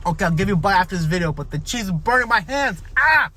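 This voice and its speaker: A man's voice: high-pitched, expressive, fast talker, bright, forceful tone. A man from Central New Jersey with a New Jersey accent, chatting casually and upbeat to his viewers in a vlog. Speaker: vlogger.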